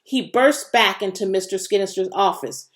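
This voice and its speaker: A woman reading a children's novel aloud as an expressive storyteller, with dramatic emphasis. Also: medium pitch, normal speed. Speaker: audiobook reader.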